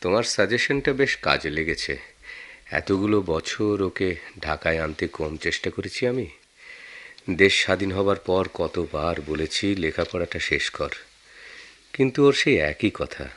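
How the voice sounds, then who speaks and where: An actor with a Bangladeshi accent playing a concerned married man in a radio drama, earnest and deliberate. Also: sits low, expressive, a bit bright, heavily acted. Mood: appreciative, concerned. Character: concerned married man, sentimental, respectful of war veterans. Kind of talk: Bengali radio drama acting.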